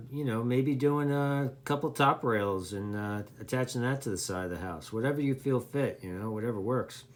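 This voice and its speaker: Man, medium pitch, rambling, plainspoken, conversational, unscripted hobbyist advice for beginners. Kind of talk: unscripted casual explanation to camera.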